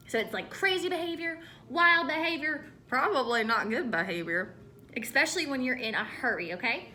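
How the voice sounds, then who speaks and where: Animated, bright, high-voiced woman teaching children. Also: a little hiss or hum. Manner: animated, instructive, asks listeners questions. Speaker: elementary school teacher. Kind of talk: read-aloud lesson for children.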